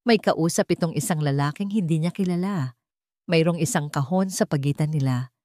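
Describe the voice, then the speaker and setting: A woman's voice: low voice, moderate pace, dark tone, no echo, studio quality. A woman narrating a scripted dramatic audio story.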